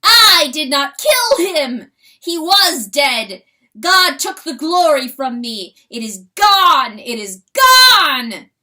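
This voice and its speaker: A woman voicing a proud male rooster who crows out his words in slow, drawn-out shouts. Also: high voice, very animated, pitched above the performer's own voice, full character voice. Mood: anguished shouting. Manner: shouted crowing cries, drawn out. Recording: voice heard from a distance.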